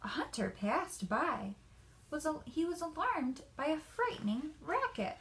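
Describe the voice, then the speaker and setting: A woman reading a children's story aloud in an expressive, deliberate storyteller's manner. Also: high-pitched, low-quality recording.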